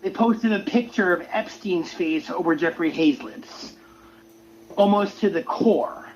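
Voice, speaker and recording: A man giving an opinionated, casual rant on a livestream, halting and often repeating his words. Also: sits high. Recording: muffled lo-fi audio with noise.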